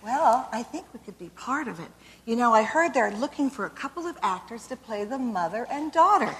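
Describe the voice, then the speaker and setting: A coaxing mother , played by a woman on stage, explains things patiently to her child. The voice is high and very lively in pitch. A long hall reverb hangs behind her. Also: brisk, close to an everyday voice. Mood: explaining. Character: mother explaining a radio show to her daughter. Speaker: actress in a framing skit. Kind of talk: amateur stage skit acting.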